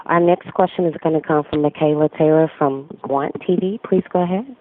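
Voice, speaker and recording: A woman's voice: deep voice, brisk. A woman acting as conference call operator, making formal scripted announcements. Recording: tinny telephone line.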